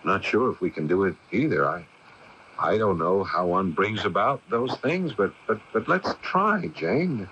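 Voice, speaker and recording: An actor playing an earnest, probing young man in a 1980s radio drama, intent and a little halting. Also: on the low side, expressive, a bit bright, highs cut off. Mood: coaxing. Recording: noisy 1980s AM radio broadcast.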